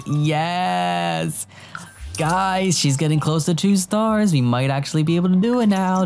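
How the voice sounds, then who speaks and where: A young man with a cold and a sore throat, chatting excitedly to viewers as he plays a life-simulation game into a headset mic. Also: on the high side. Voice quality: voice sounds a bit off from illness. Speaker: gaming YouTuber. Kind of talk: casual let's-play commentary.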